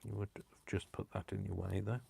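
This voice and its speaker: A man's voice: fairly low pitch, flat delivery, brisk, gravelly, warm tone, slight background noise. A man hosting a live crafting stream, chatting to himself as he works and poking fun at his own mistakes. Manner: self-mocking, talks to himself. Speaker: crafting video host.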